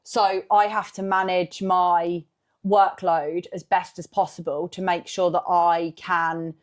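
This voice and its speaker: A woman's voice: lowish voice, little pitch movement, takes it slowly. A woman in her thirties co-hosting a podcast, talking casually with lots of fillers. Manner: chatty, frequent fillers. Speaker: podcast co-host. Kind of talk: casual chat.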